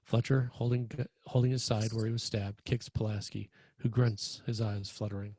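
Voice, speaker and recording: A man narrates screenplay action in a mellow, lowish voice. The sound is close and dry, and he reads as scripted stage direction. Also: level tone, normal speed, husky, no echo.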